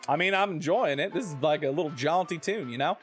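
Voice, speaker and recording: A man's voice: on the high side, quick pace, soft background music. An American man giving casual, joking gameplay commentary and reading text aloud, with playful, folksy drawled asides. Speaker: game streamer.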